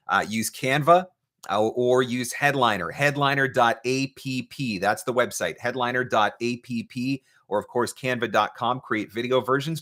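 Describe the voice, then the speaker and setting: A man's voice: medium pitch, a bit bright. A man hosting a live question-and-answer broadcast, giving conversational, instructive advice with emphatic repetition of key points. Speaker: host, voice-over marketing coach.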